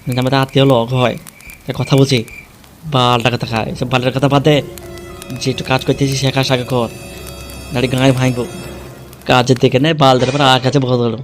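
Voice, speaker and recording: Bullying gangster kidnapper with flat delivery, a man acting. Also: mid-range voice, fast talker, pauses often, faint music behind, close to an everyday voice. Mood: bossy. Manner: bullying, blunt. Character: threatening, foul-mouthed male gangster kidnapper. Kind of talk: amateur comedy cartoon dub.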